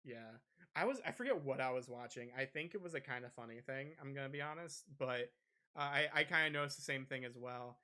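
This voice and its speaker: A man's voice: mid-range voice, fairly quick, bright, forceful tone, clear, resonant tone. A man hosting a podcast, talking casually and a little hesitantly through gaming news. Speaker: podcast host.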